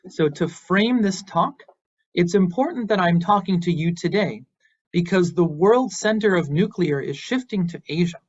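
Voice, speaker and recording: A man's voice: high voice, normal speed. Earnest American man lecturing, muffled sound. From Boston, United States. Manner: earnest and encouraging, with some fillers. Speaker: university lecturer. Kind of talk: invited lecture, online.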